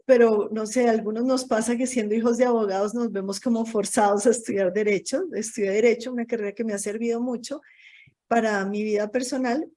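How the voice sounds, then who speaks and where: Mellow Colombian woman, fairly high voice. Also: steady tone, brisk. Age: 40s. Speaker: interview guest, lawyer. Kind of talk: casual autobiographical talk. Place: online video call.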